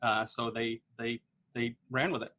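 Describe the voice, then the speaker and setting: A man on a comedy panel makes up an explanation as he goes. His voice is medium in pitch with a bright, sharp edge, and he hesitates often. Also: little pitch movement. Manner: halting, full of hesitations. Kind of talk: improvised mock explanation. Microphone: video call.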